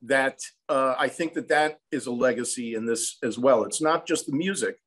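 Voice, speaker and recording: An American man in his sixties talks as a guest on a video call. His pitch is average, and he tells his stories conversationally, in a measured and reflective manner. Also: a bit bright. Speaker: songwriter, interview guest. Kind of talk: conversational storytelling. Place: room at home, indoors.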